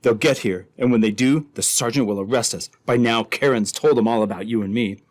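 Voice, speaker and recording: A man's voice: mid-range voice, a little fast, darkish tone, lightly acted. A man playing an uneasy, hesitant criminal henchman in an old-time radio adventure. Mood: worried. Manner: hesitant, worried. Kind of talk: re-created old-time radio drama acting.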